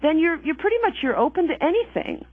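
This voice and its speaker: A woman's voice: high-pitched, sing-song, quick pace, no echo. A woman guest earnestly recounting her own faith journey, thinking aloud and correcting herself as she goes, heard over a phone line. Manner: earnest, reflective, self-correcting. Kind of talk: personal testimony in conversation.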